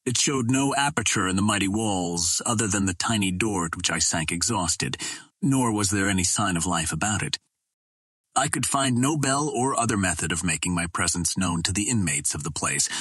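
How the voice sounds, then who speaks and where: Low, husky male storyteller. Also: fairly bright tone, dry recording. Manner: deliberate, formal storytelling in first person. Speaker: audiobook narrator. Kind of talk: dramatic scripted narration of a pulp adventure novel.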